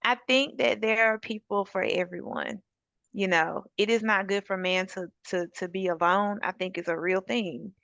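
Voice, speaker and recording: Gravelly-voiced woman giving practical encouragement. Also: medium pitch, steady tone, moderate pace, a bit bright, no room sound, highs cut off. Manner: encouraging, practical advice with scripture references. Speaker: suicide prevention advocate. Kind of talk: conversational interview guest.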